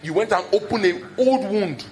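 High-voiced male preacher. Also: expressive, moderate pace, music in the background. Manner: conversational, telling personal stories. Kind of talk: sermon.

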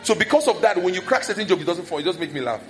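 A man's voice: high voice, sing-song, rapid-fire, soft background music. A man preaching a conversational sermon that is full of personal stories.

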